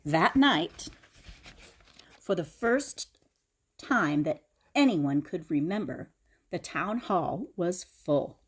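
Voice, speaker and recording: A woman reads a children's picture book aloud with dramatic expression. Her voice is husky and swings widely in pitch, and she slips into explanatory asides for young listeners. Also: animated, slow pace, long pauses. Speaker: teacher reading aloud to children.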